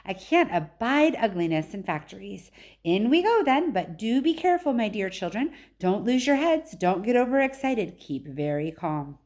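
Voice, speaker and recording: A woman's voice: medium pitch, sing-song, moderate pace, a little mellow, close to an everyday voice. A woman reading a storybook voices an eccentric, boastful male factory owner, exclaiming emphatically and repeating phrases. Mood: proud, cautioning.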